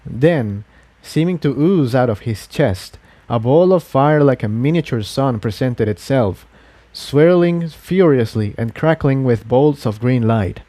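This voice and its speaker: A man narrates a story in a dramatic, measured way. His pitch moves expressively and he takes his time, with a dry, close sound. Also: expressive, dark tone, dry recording. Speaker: audiobook narrator. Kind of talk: dramatic scripted fiction narration.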